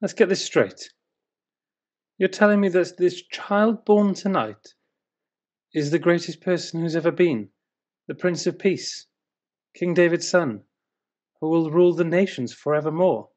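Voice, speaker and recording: A man's voice: on the high side, measured pace, pauses often, a little mellow, close to an everyday voice. A British man playing a grumpy, sleep-deprived, sceptical husband in a dramatic verse reading, grumbling with sarcasm. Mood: incredulous, scornful.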